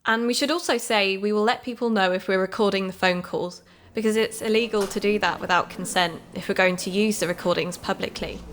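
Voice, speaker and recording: A woman's voice: medium pitch, steady tone, a little fast, a bit bright, close to an everyday voice. A British woman playing an earnest podcast investigator, giving scripted, explanatory narration in an audio drama.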